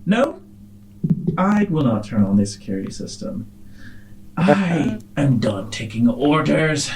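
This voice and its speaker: A man's voice: on the high side, very animated, slow pace, noisy background, pitched above the performer's own voice, exaggerated voice. A man voicing a playful, proud hologram AI who talks in a courtly, theatrical way and savors words in a tabletop game. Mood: insistent. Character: Paige, a playful, proud, newly independent hologram AI.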